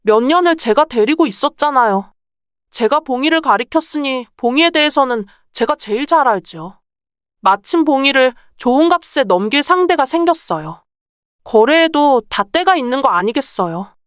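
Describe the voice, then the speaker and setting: A woman's voice: high-pitched, lively intonation, normal speed, no echo, studio quality. A woman playing a clever, bold young merchant's daughter in a folktale, speaking politely and persuasively. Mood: coolly calculating. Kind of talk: acted Korean folktale dialogue.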